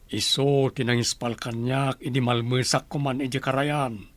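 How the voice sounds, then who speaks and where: A man's voice: medium pitch, level tone, normal speed, no echo, close to an everyday voice. A man playing a kindly, joking middle-aged village uncle in an Ilocano radio drama, speaking in a folksy, conversational way. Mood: wistful, confiding. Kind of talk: Filipino radio comedy-drama acting.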